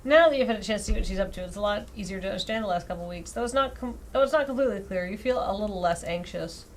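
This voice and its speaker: A woman's voice: mid-range voice, rapid-fire. A woman streaming a game, reading a story aloud expressively and dropping in wry, self-deprecating casual asides. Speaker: streamer reading out a dating sim visual novel. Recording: clearly audible background noise.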